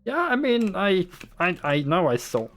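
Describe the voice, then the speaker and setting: A man's voice: high-pitched, big pitch swings, a little slow, a bit bright, faint music behind. A young man from the Netherlands with a light Dutch accent, streaming a shooter game and chatting casually with his viewers in a rambling, loose way, swearing now and then. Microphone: desktop USB microphone.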